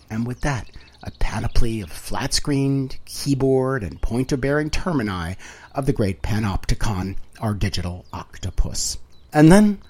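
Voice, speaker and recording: Male audiobook narrator, mid-pitched, for literary prose; wry and theatrical, with deliberate phrasing. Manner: dramatic, wry, deliberate phrasing with emphatic swells and hushed drops.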